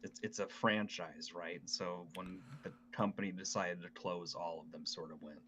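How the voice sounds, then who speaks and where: Male co-host, slightly low voice, casual podcast chat, faint noise behind him. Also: fairly even intonation, moderate pace.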